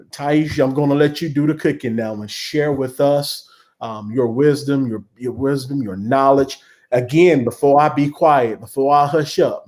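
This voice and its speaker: A man's voice: mid-range voice, mellow. A man, a pastor hosting a church livestream talk show, speaking in a folksy, repetitive way with homespun sayings. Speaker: pastor and host.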